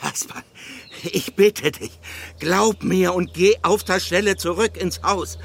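A man's voice: high voice, slow, vocal fry, husky, exaggerated voice. An actor playing a pompous, flustered village police sergeant in a children's radio play, tangling his own words. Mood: insistent.

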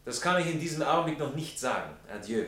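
An actor playing a stiff, possessive aristocratic fiancé, commanding and condescending, in a satirical radio play. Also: male voice, fairly high pitch, animated, quick pace, fairly bright tone. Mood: coldly offended. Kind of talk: theatrical literary satire dialogue.

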